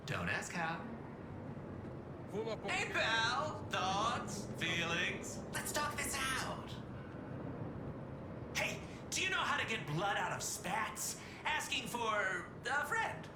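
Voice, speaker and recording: An actor playing a theatrical, gleefully murderous evil clown villain in a video game, teasing and mock-sweet. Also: male voice, high voice, reverberant, noisy background, full character voice. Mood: eager pleading. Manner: showy, teasing, mock-sweet, swooping delivery. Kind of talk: video game character acting.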